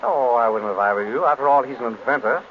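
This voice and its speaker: An actor playing a suave, easygoing, wisecracking gentleman detective in an old radio drama, with breezy, wry delivery. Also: mid-range voice, lightly acted. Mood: reassuring. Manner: breezy, wry, unhurried banter. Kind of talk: 1930s radio drama acting. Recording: muffled, noisy old radio recording.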